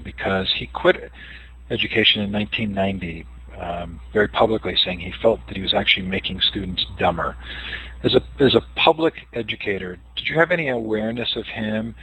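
Man, interview host, deep voice, sharp tone, hesitant questions. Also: brisk, slight background noise, rough recording.

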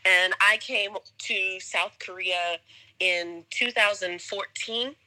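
A young American woman talks casually as an interview guest. Her voice is very bright and forward, at an average female pitch. She tells her own story in a conversational way. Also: fairly even intonation, slow, rough recording. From United States, suburbs of Atlanta, Georgia. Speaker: podcast guest, English teacher.

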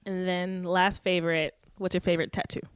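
A woman hosting a casual interview, supportive and quick with short affirmations. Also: lowish voice, level tone, clean tone, dry recording. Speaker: interview host.

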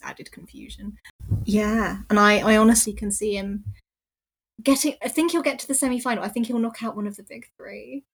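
British woman chatting on a podcast, medium pitch, bit giggly as she goes. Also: brisk, slightly rough, rough recording. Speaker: podcast panellist. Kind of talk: casual chat.

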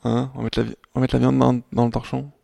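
Male co-host, fry-laden mid-range voice, self-mocking casual banter. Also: mid-range voice, flat delivery, quick pace, warm tone, no room sound, studio quality. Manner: jokey, colloquial, self-mocking. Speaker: podcast co-host. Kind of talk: casual music podcast chat.

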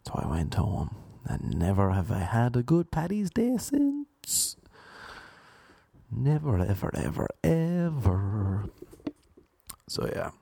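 A young man with a Cork Irish accent, telling stories in a deadpan, self-mocking, rambling way. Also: mid-range voice, slow pace, vocal fry, husky. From Ireland. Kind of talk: casual storytelling monologue.

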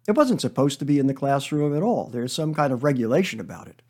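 A man reading a comic story monologue as a weary, defensive schoolteacher who rambles in a deadpan, hesitant way. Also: medium pitch, quick pace. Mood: resigned, deadpan. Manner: deadpan, hesitant, self-justifying digressions.

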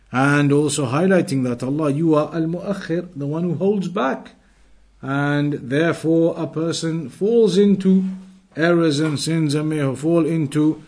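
A man leads a classroom lesson on religious texts, speaking at an ordinary middle pitch. He explains line by line, poses rhetorical questions to his students, and slips into rapid, fluent Arabic whenever he quotes. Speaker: Islamic teacher.

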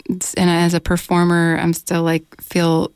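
A woman's voice: lowish voice, monotone, unhurried, a little mellow, clear, resonant tone, no room sound, studio quality. A woman singer-songwriter in a casual radio interview, chatty, searching for words and laughing often. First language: English. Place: radio studio.

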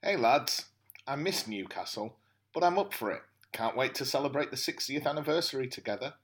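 A man's voice: medium pitch, animated, fairly quick, slightly rough. A man co-hosting a podcast as a guest, playful and wry in casual comic chat and read-through.

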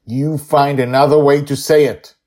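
A man's voice: medium pitch, flat delivery, moderate pace, dark tone, pitched above the performer's own voice, natural voice. A man voicing a stern, exasperated father in a story, firm, scolding and emphatic. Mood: stern, angry.

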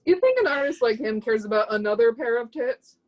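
A woman's voice: a little high, lively intonation, fairly quick, lightly acted, rough recording. A woman playing a proud, zealous would-be artist's muse in a play reading, speaking with lofty, scornful emphasis.

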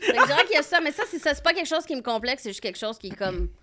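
A woman's voice: high voice, very fast, over a noisy crowd. A woman in her thirties, born in Morocco with Arabic as her first language, speaking Quebec French and telling candid stories with a lot of "comme" in a casual chat. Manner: candid, animated storytelling with frequent "comme" and laughter. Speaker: podcast guest.

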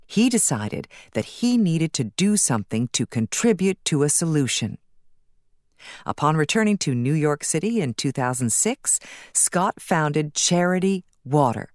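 A woman with a low-pitched voice narrates a nonfiction audiobook. Her delivery is measured and articulate, with an instructive tone. The sound is close and dry, with almost no room echo. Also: darkish tone.

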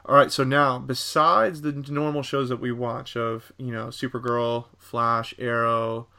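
A man co-hosting a casual podcast discussion about entertainment, talking in a halting, rambling way. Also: medium pitch, level tone, gravelly, bright, forceful tone. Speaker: podcast co-host.

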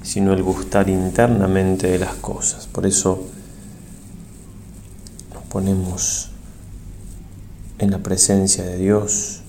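Slow, low-voiced priest leading prayer. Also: male voice, flat delivery, warm tone, slight background noise. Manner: reverent, measured, pausing between short phrases. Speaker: priest leading a guided meditation. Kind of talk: guided prayer meditation, solemn and devotional.

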